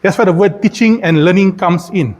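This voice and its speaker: Male keynote lecturer, middle-aged, Malaysian accent, higher-pitched voice, long hall reverb. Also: a little fast, a little mellow. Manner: addresses listeners with rhetorical questions. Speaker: keynote speaker, engineering professor. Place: large indoor conference hall, speaking at a podium.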